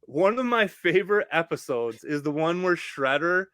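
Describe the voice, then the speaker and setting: Higher-pitched man chatting casually, very dry sound. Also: animated, moderate pace, sharp tone, no echo. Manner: opinionated, informal, uses dude and man. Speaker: podcast host. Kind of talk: casual fan discussion.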